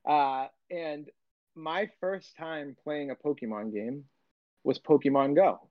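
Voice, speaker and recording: A man in his late thirties chatting on a podcast, animated and self-mocking, often tripping over his words. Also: a little high, slow pace, no room sound. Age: 30s. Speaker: podcast co-host. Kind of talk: casual chat. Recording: slightly muffled, dry sound.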